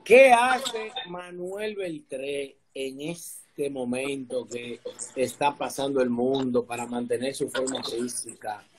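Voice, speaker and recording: A man's voice: mid-range voice, takes it slowly, a crowd in the background, low-quality recording. A middle-aged man with a Dominican accent hosting a casual sports interview over a video call, with informal, slangy talk. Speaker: interviewer, show host. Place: indoor room, remote video call. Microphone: video call, device at arm's length.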